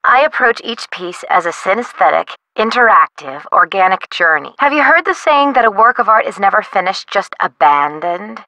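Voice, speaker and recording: A young actress playing a quirky, excitable, pretentious artist in a video game, with a perky, slangy, theatrical delivery. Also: female voice, mid-range voice, normal speed, few pauses, no echo, poor audio. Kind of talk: video game character dialogue.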